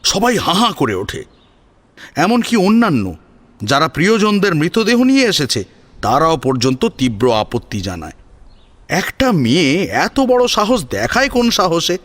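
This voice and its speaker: A man narrating a scripted dramatic audio story in an expressive, emphatic manner. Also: fairly high pitch, moderate pace.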